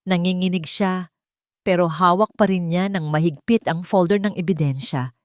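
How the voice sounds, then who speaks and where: A Filipino woman reads a dramatic love story as a narrator. Her voice sits fairly low, and the recording is dry and close, with little room sound. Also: steady tone, moderate pace, no echo.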